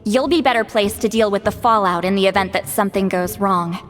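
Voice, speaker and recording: A woman voicing a brisk, no-nonsense granny of a tribe, sharp but caring, in a video game. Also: mid-range voice, natural voice. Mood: firm. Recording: soft game music behind the voice.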